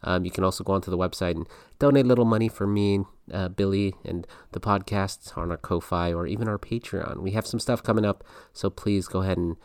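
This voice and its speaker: A man's voice: deep voice, fairly quick, darkish tone, smooth, dry recording, studio quality. A man hosting a podcast in a chatty, giggly way, with plenty of ums. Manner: chatty, giggly, lots of fillers. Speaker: podcast host. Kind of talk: casual podcast chat.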